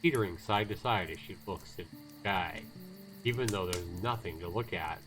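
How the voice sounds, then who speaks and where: A man with a fairly low voice hosts a casual game livestream. He rambles informally to his viewers, halting as he searches for words, and reads game text aloud. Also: music in the background. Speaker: livestream host playing a visual novel.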